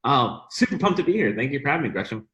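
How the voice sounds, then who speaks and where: A man's voice: mid-range voice, very animated, fast, fairly bright tone, muffled recording. A young man from Bosnia, a podcast guest telling his story in an upbeat, enthusiastic way. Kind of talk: casual interview chat.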